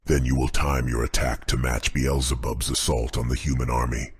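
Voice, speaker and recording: A man voicing Satan as an enraged, paranoid tyrant demon king with a gravelly voice, speaking slowly and imperiously in an audiobook. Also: flat delivery, no echo, studio quality, full character voice. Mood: commanding. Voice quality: very deep, gravelly, husky. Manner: slow, imperious, threatening.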